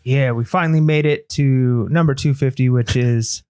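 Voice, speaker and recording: Steady man hosting a podcast. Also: mid-range voice, normal speed, smooth, faint music behind. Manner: steady, explanatory, guides the talk. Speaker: podcast host. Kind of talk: casual chat.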